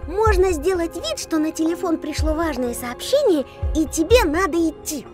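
A woman's voice: very high-pitched, animated, normal speed, music in the background. A woman voicing a cheerful young girl who reads out viewers' letters in a children's cartoon. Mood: eager.

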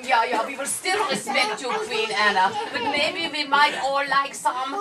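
A woman's voice: sits high, unhurried, a loud crowd. A woman voicing a proud but placating male fashion king in a comic puppet show. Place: small indoor shop puppet show. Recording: distant camera in room with audience.

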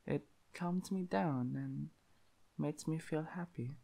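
A man's voice: a little high, slow, dark tone, full character voice. A man voicing an affectionate, shy young dog-boy boyfriend in an intimate roleplay, with a lisp and hesitant, cutesy delivery. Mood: content, affectionate. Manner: breathy giggling, hesitant stammering, cutesy. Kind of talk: intimate roleplay audio acting.

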